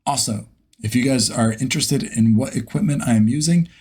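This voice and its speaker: A man's voice: medium pitch, brisk, a little mellow, slightly rough. A man talking casually and informally as a vlog host, thinking aloud in a self-deprecating way. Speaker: host, beginner voice actor. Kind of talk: casual vlog chat to camera.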